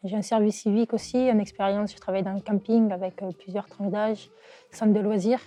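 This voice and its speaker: A woman's voice: medium pitch, steady tone, fairly quick, dark tone. A woman in her twenties plainly listing her diplomas and jobs. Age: 20s. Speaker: interviewee. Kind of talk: spontaneous personal account.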